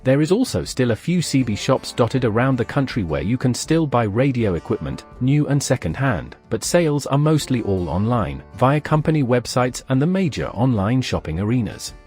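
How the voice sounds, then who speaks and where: Lively-pitched male documentary narrator. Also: normal speed, hardly any pauses, faint music behind.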